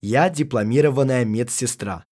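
A man reading out translated phrases one at a time for a language lesson, in a careful, measured way. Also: mid-range voice, a little mellow. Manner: gives each phrase as a careful spoken translation. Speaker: translation reader.

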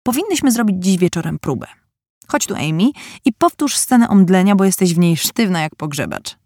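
A headstrong teenage tomboy, brisk and firm, rallying her sisters with energy. A woman narrator plays her with a somewhat low, mellow tone, recorded dry and close. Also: very animated, no room sound. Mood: lively. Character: tomboyish bold teenage girl, the family's leader.

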